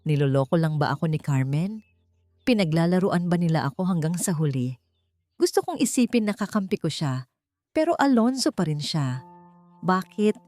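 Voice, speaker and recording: A woman narrating a dramatic first-person audiobook story in an emotive, deliberate manner. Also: sits low, sing-song, dark tone, no echo, soft background music.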